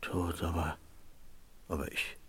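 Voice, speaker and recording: Slow, halting, distraught man under questioning. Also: deep voice, little pitch movement, a bit bright, breathy edge, full character voice. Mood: dazed, shaken. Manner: halting, repeats himself, protesting. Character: distraught, hungover male car mechanic under police questioning. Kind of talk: German radio crime play acting.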